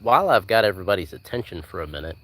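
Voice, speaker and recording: A man's voice: low voice, expressive, racing, a bit bright. A man in his forties chatting on a casual live stream while walking outdoors at night, easygoing and rambling, answering viewers by name. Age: 40s. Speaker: livestream host.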